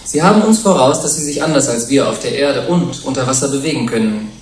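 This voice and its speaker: Male actor as courteous interviewer, mid pitch, formal, deliberate, far from mic, radio play. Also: lively intonation, close to an everyday voice. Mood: formal, inquiring. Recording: echoey, distant, faintly noisy taped broadcast.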